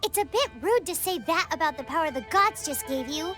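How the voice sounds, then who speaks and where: Tiny fairy guide, video game, extremely high, squeaky and childlike, animated intonation, chirpy, eager. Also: female voice, a little fast, soft background music. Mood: bright, curious. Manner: speaks of self in third person, perky. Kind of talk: video game character acting.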